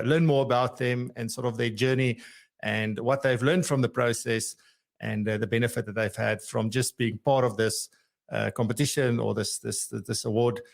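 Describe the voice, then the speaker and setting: Gravelly-voiced male podcast host. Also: mid-range voice. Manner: chatty, hesitant with many fillers. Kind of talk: live podcast interview.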